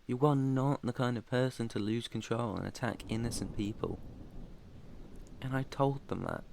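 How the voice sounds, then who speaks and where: A man's voice: mid-range voice, normal speed, gravelly, darkish tone, slight background noise, natural voice. Man acting an ancient, protective British vampire master. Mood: soothing, protective. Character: ancient, protective male vampire master, proud yet tender. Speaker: roleplay voice performer. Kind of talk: ASMR roleplay acting.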